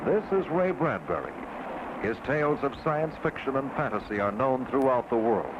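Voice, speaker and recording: Male narrator, animated delivery, scripted documentary read, dull old film soundtrack. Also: mid-range voice, normal speed, very noisy. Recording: dull vintage film soundtrack, music behind. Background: music.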